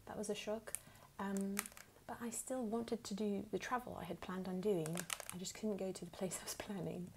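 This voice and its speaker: A woman from the United States with a put-on English accent, speaking softly and at times whispering as she unhurriedly answers questions for an ASMR video. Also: medium pitch, steady tone, moderate pace, vocal fry, few pauses, noisy background. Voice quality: soft, partly whispered.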